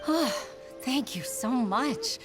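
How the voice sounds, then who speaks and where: Courteous, slow-spoken game character. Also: female voice, high voice, animated. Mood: grateful, relieved. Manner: polite, deferential. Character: grateful, courteous female client. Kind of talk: video game voice acting. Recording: music behind the voice.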